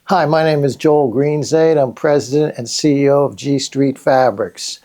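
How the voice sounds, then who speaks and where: Co-host: middle-aged man, American accent, dark soft-edged tone, conversational and a bit halting. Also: medium pitch. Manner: halting, repeats words. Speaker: podcast co-host, company president. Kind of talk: conversational podcast hosting.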